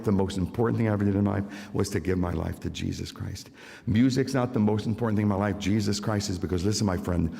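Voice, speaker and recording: Older man, emphatic sermon testimony, repeats phrases, gravelly voice, long church reverb. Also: lowish voice, darkish tone. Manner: emphatic, repeating key phrases, direct address to listeners. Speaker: concert pianist giving testimony. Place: large church sanctuary.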